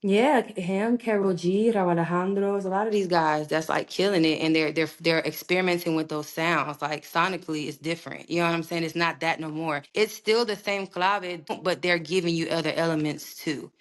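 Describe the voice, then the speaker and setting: A young woman recording artist in a casual interview, talking in a slangy, animated way with plenty of swearing. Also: lowish voice, normal speed, few pauses. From United States, raised partly in Puerto Rico.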